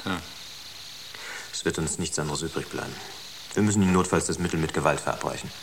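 A man's voice: rumbling low, quick pace. An actor in a German radio drama playing a sober, resolute crewman with a grim, matter-of-fact manner. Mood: grimly resolute. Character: sober, resolute male crewman questioning a colleague. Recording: clearly noisy ambience behind the voice.

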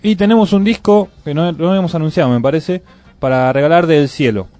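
A man's voice: fairly high pitch, brisk, faint music behind. Argentine man hosting community radio. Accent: Argentine Spanish. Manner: relaxed, reads event listings conversationally. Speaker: radio host. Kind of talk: casual community radio chat.

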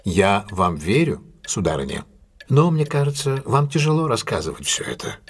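An actor playing a confident, courteous male detective in an audio drama, speaking in a measured, deliberate and formally polite way. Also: lowish voice, big pitch swings, slightly rough. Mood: calm, probing. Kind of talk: audio drama acting, classic detective story.

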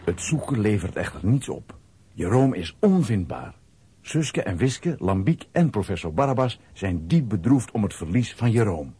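A man with a husky voice narrating a scripted children's audio play as storyteller. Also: fairly low pitch, very animated, normal speed, dark tone.

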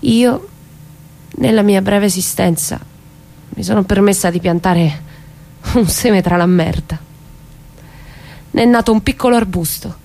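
An actress playing a courageous, bitter mother and doomed witness in an Italian radio stage drama, with intense, deliberate delivery. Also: mid-range voice, vocal fry, dark tone. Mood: solemn, defiant.

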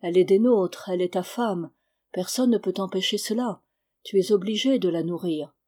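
A sad old man, the elder of a village, voiced by a woman narrator. The tone is mellow and husky, gentle and regretful, yet firm, as in a literary audiobook. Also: medium pitch, normal speed, lightly acted. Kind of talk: dramatic audiobook character reading.

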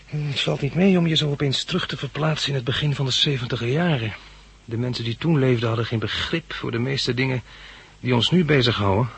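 Easygoing survivor man in old sixties radio drama, really husky gravelly voice. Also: mid-range voice, a little fast. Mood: reluctant. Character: practical, easygoing male survivor tinkering with chemistry. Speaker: radio play actor. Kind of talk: 1960s Dutch radio drama acting.

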